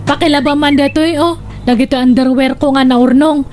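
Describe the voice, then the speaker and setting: A woman's voice: sits high, fairly even intonation, normal speed, a little mellow, lightly acted. A woman playing a bossy, demanding employer in a radio drama, nagging with an Ilocano accent. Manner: commanding, nagging. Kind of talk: Filipino radio drama acting.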